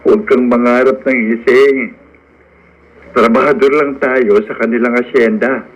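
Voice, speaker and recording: An actor playing a plainspoken, skeptical farmhand and friend in a Filipino radio drama. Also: male voice, medium pitch, fairly even intonation, a little slow, highs cut off, natural voice. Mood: teasing, realistic. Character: down-to-earth male farmhand, frank, skeptical friend.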